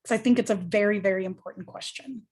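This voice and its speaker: A woman's voice: medium pitch, fast, a little mellow, breathy edge. A woman on a panel, answering a question in a thoughtful, earnest way with frequent ums and restarts.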